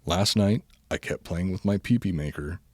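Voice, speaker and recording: A man reading a comic bedtime story aloud as a podcast host, mostly deadpan but prone to giggling. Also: sits low, moderate pace, no room sound.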